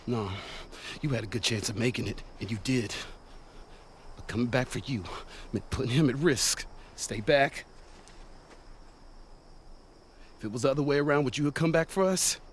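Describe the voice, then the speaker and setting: An actor playing an earnest, protective young survivor with an American accent in a video game. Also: male voice, mid-range voice, a little fast, slight background noise, natural voice. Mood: defensive. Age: young adult. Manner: earnest, expressive. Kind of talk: video game cinematic acting.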